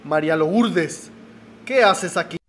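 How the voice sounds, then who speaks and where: Man acting proud magnate, higher-pitched voice. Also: slow pace, fairly bright tone, faint music behind. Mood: guarded, offended. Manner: indignant, melodramatic. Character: proud, stern middle-aged business magnate father. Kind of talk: radio soap opera acting.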